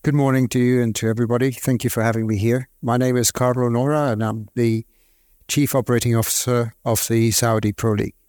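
A man speaking as a league executive on a conference panel, in a measured, businesslike way. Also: mid-range voice, steady tone, a little mellow, dry recording, studio quality. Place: conference stage.

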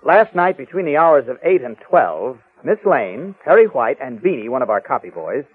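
An actor playing an earnest, level-headed newspaper reporter, reasoning emphatically in a 1940s radio serial. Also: male voice, mid-range voice, sing-song, moderate pace, breathy edge, no room sound, narrow, tinny audio. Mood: polite, measured. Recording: thin, band-limited vintage radio recording.

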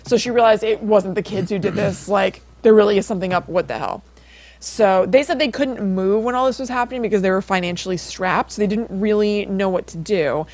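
A woman's voice: mid-range voice, a little fast, a little mellow, no echo. A woman co-hosting a podcast, telling a story in a casual, conversational way with lots of informal filler phrasing. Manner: informal, peppers speech with like and kind of. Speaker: podcast co-host.

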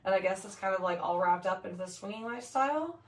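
A hoarse young woman talks casually, her voice gravelly and frayed from laryngitis. She sits back from the mic in an echoey room, and her chat is full of "like" fillers. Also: medium pitch, expressive, fast talker, far from the mic. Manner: chatty, lots of "like" fillers. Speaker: podcast co-host. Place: home office, on a couch.

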